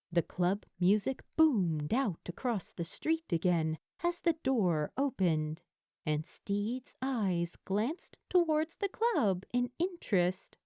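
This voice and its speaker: A woman reading a story aloud as a narrator, in a measured, expressive storytelling manner. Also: mid-range voice, no room sound, clean studio sound. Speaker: fan fiction narrator.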